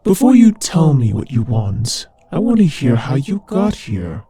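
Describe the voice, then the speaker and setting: A man voicing a husky, haughty demon lord. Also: medium pitch, sing-song, a little slow, mellow, no room sound, exaggerated voice. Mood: curious, condescending. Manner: imperious, condescending, playfully taunting. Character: haughty, teasing demon lord ruling part of hell. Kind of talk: ASMR roleplay audio drama addressed to the listener.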